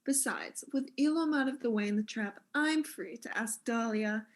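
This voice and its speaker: A woman plays a blasé, lovestruck tinkerer in a comic space play. Her voice sits a little high, and she is flippant and unrepentant. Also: normal speed, close to an everyday voice.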